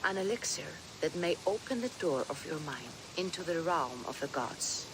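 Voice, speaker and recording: A solemn mystic seer. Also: female voice, sits low, animated, background noise. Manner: measured, solemn, slow. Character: calm mystical female seer, middle-aged. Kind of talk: video game cutscene dialogue.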